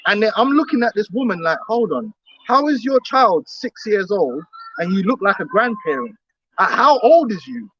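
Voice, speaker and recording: A man's voice: high voice, big pitch swings, normal speed, a bit bright, dull audio, a little hiss or hum. A man preaching outdoors on the street, emphatic and repetitive, often laughing between his points.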